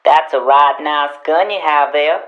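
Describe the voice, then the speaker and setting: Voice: mid-range voice, unhurried, dull audio, close to an everyday voice. A performer playing an eager, boastful young runaway boy with an American accent and folksy speech in a western radio drama. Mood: eager, curious.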